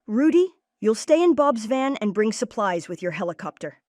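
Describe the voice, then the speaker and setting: A woman's voice: on the high side, very animated, moderate pace, a little mellow, dry recording, clean studio sound. A woman voicing an earnest child leader who takes charge, in a cartoon-style comic dub. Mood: organizing. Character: earnest kid leader, Max, organizing friends. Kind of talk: comic dub character acting.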